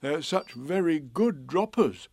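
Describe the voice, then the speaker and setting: Storybook bear, male reader: unhurried, drawn-out delivery, placid, simple and matter-of-fact. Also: fairly high pitch, animated, creaky, darkish tone, slightly rough, no echo, voice pushed up, exaggerated voice. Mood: knowing. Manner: slow, matter-of-fact explaining. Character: calm, slow-witted male storybook bear, placidly knowing.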